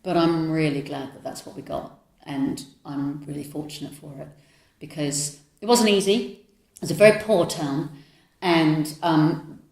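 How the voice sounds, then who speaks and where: A middle-aged woman who grew up in New Zealand, giving long, animated answers as an author being interviewed. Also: on the low side, reverberant. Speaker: author and theatre maker guest. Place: literary festival.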